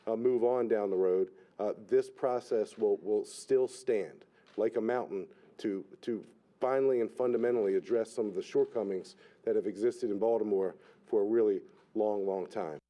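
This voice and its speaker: A man, a police commissioner, making halting public remarks. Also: fairly low pitch, dark tone.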